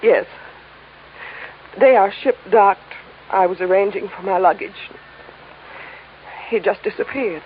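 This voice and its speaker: A woman's voice: medium pitch, measured pace, long pauses, natural voice. A woman playing an anxious, guarded young client in an old-time radio crime drama, speaking politely and hesitantly. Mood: anxious, earnest. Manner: polite, formal, hesitant, earnest. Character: anxious, refined, guarded young woman seeking her missing brother. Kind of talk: 1940s-50s radio drama acting. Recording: tinny, noisy old radio recording.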